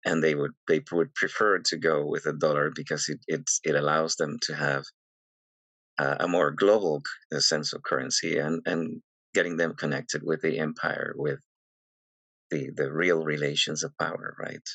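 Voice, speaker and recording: A man's voice: a bass voice, steady tone, moderate pace, fairly bright tone, dry recording. A man from Venezuela hosting an academic podcast interview, talking in a thoughtful way with many stammering restarts. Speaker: podcast host.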